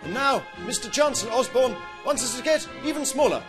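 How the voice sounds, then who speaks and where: A man narrating a mock public information film in a cheery, deadpan satirical manner. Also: extremely high pitch, brisk. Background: music.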